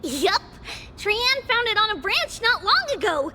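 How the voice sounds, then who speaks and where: A woman voicing a bubbly, excitable, childlike little girl in an anime-style game. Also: extremely high pitch, a little slow, slight background noise, full character voice. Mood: excited, proud. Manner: excitable, third-person childlike talk. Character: bubbly childlike little girl who speaks of herself by name.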